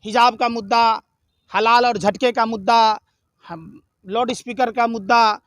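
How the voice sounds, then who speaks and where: A man's voice: very high voice, steady tone, a little slow, a bit bright, dry recording. A man speaking in a heated political argument, combative and indignant, with emphatic repetition and rhetorical questions.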